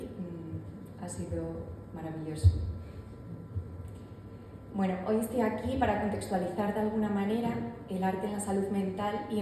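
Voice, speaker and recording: A woman giving a courteous, orderly opening to a public talk, thanking the hosts and outlining her topics. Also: medium pitch. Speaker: mental health professional speaker. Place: large echoey hall. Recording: echoey hall with long reverb, faint room noise.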